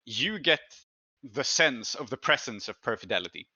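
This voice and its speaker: A man running a tabletop game, narrating in a halting, conversational way as he thinks aloud. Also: medium pitch, sing-song, bright, forceful tone, slightly rough, no room sound, lo-fi sound. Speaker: game master.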